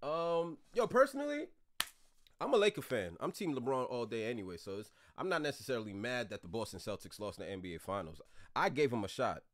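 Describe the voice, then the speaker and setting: Lively young man reacting on camera. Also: medium pitch, fairly quick. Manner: animated, slangy, laughs along. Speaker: reaction video host. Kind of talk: casual reaction commentary. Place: home room with gaming chair.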